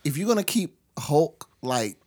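A man's voice: on the high side, very animated, unhurried, darkish tone. A man on a podcast panel chatting casually about a film in short, informal, emphatic phrases. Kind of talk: casual film review discussion.

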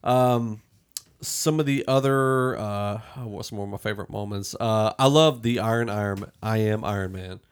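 A man hosting a podcast, casually discussing a film and thinking aloud in a halting way. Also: lowish voice, clean tone. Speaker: podcast host.

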